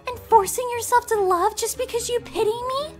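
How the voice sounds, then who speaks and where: A woman playing a gentle, lovesick young female pony with wounded feelings in an audio drama, speaking earnestly and emotionally. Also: extremely high pitch, moderate pace, faint music behind. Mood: hurt, angry. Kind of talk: fan audio drama acting.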